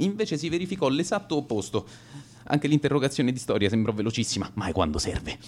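A man plays a teenage schoolboy in a radio play monologue, a coward talking himself up as a bully. His voice sits fairly high and swings widely in pitch. He confides his story in an animated way, tripping on the odd word. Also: close to an everyday voice. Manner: animated confessional storytelling with stammered hesitations. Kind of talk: radio theatre dramatic monologue.

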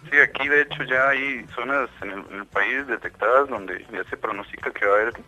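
A man's voice: mid-range voice, a little fast, bright, forceful tone, poor audio. A man with a Costa Rican accent, chatting casually as a guest, easygoing and a bit hesitant. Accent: Costa Rican Spanish. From Costa Rica. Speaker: guest on a phone call. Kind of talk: casual chat.

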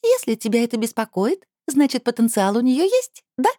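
A woman reader voicing a playful, coaxing female being with a soft, enveloping mezzo voice in a storybook. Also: fairly high pitch, very animated, normal speed, dry recording, clean studio sound, pitched above the performer's own voice. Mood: curious. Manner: purring, teasing, coaxing.

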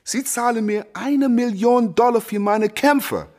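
An actor in a German radio play voicing a proud, fiery young boxer who preaches emphatically with rhetorical repetition. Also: male voice, very high-pitched, unhurried, darkish tone. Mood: proud, measured.

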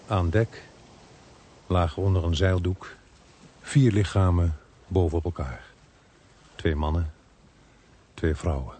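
An actor with a Netherlands Dutch accent playing a sombre, husky first-person narrator in a radio murder thriller, measured and grave. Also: male voice, deep voice, fairly even intonation, warm tone, a little hiss or hum.

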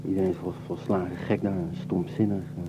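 A man's voice: sits low, little pitch movement, a little fast, background noise, lightly acted. A man playing an anxious, brooding young man in a radio play, hesitant and stammering, repeating his words. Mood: brooding, fed up. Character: anxious, brooding young Dutchman fleeing people, troubled by women. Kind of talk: naturalistic radio play dialogue and muttered monologue. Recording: dull lo-fi tape with music.

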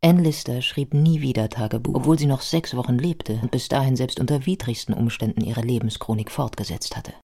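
A man playing a reflective biographer who narrates a radio play in sober literary prose, with a husky voice. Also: medium pitch, fairly quick, hardly any pauses, darkish tone. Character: reflective biographer recounting a life with sober sympathy. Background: music.

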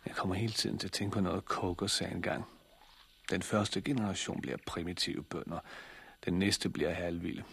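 An actor in a 1960s radio drama playing a brooding, stubborn husband and farm leader, terse and understated. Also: male voice, sits low, steady tone, creaky, a bit bright, slightly rough, heavily acted.

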